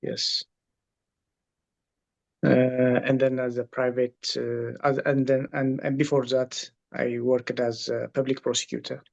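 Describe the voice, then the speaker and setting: Gravelly man. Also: medium pitch, flat delivery, slow pace. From Ethiopia. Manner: hesitant, with many uhs and repeats. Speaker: workshop participant, lawyer. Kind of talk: casual chat.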